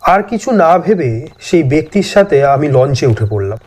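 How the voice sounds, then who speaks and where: A man's voice: fairly high pitch, sing-song, moderate pace, a little mellow, husky. A man telling a scripted first-person horror story as a dramatic narrator.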